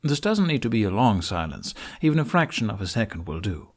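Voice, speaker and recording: A man narrating a how-to tutorial, explaining things step by step with deliberate phrasing and pauses. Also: fairly low pitch, big pitch swings. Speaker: tutorial host. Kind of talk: instructional narration for a how-to video.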